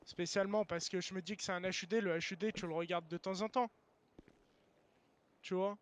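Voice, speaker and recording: A man's voice: high voice, steady tone, a little fast. A man arguing casually in online game voice chat, slangy, blunt and defensive. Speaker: game server founder.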